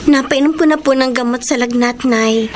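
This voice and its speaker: An actress playing a caring, concerned young daughter and loyal friend in a radio drama, gentle and respectful in manner. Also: female voice, high-pitched, moderate pace, lightly acted. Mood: sympathetic.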